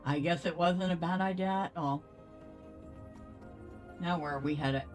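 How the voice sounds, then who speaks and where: A woman's voice: lowish voice, measured pace, soft background music. A woman giving casual let's play commentary as a game streamer, sometimes reading quest text aloud.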